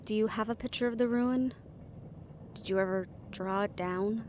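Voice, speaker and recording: A woman's voice: medium pitch, fairly even intonation, normal speed, a little mellow, a little hiss or hum, close to an everyday voice. A woman playing a blunt, protective young teammate in a fantasy audio roleplay, frank and sardonic in delivery. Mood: wry.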